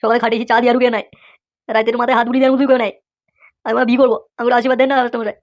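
A woman's voice: on the high side, level tone, racing, dull audio, natural voice. A woman voicing a lazy, whiny schoolboy rascal in a comic cartoon, pleading and full of exclamations.

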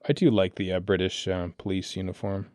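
A man's voice: deep voice, little pitch movement, brisk, darkish tone, dry recording. A man co-hosting a podcast, chatting casually and thinking aloud as he comments on what he is watching. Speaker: podcast co-host. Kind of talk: casual film commentary chat.

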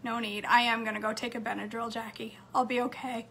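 A woman, chatting casually to her viewers from a home kitchen, slightly hoarse. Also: fairly high pitch, little pitch movement, brisk, sharp tone, a little hiss or hum. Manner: chatty, upbeat, talks straight to camera. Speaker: cooking vlogger. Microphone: phone camera close up on a counter.

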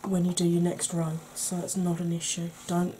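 A middle-aged woman with a Welsh accent giving an ASMR makeup tutorial at home, talking softly and often in a whisper, chatty with a dry sarcastic streak. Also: fairly low pitch, monotone, moderate pace, a little hiss or hum. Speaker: beauty YouTuber. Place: indoors at home, face in close-up.

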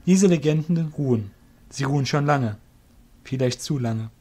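A man's voice: mid-range voice, unhurried, mellow. Man narrating a fantasy audio drama. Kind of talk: scripted fantasy story narration.

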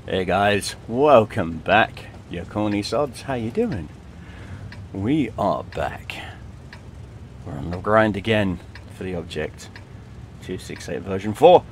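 A lively, jokey man with a Yorkshire accent talks over a tank game, his low voice swinging up and down as he hums and teases other players. Game sounds run clearly under him. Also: slow, pauses often, slight background noise. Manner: chatty, jokey, sings and hums along. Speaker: gaming streamer. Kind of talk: casual tank game commentary.

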